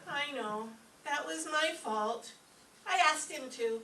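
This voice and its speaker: A woman's voice: high voice, animated, takes it slowly, bright, forceful tone, full character voice. A woman playing a naive, scatterbrained, easily frightened young roommate in a radio comedy, earnest and literal-minded. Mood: earnest, dim. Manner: earnest, literal-minded delivery of silly logic. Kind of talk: live old-time radio comedy acting. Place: echoey room, mic at a distance. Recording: roomy, distant live stage recording, faint noise.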